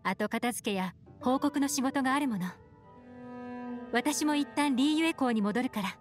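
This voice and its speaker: A woman's voice: sits high, moderate pace, natural voice. A woman playing a cool, mysterious female agent in an anime game, poised, self-assured and teasing. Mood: composed, pensive. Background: music.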